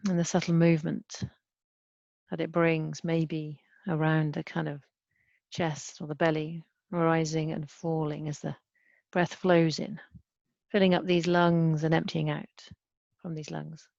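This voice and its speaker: A woman leading a guided body scan meditation as a mindfulness teacher, speaking gently and unhurriedly with long pauses. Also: deep voice, flat delivery, gravelly, dry recording. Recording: dull, muffled lo-fi sound.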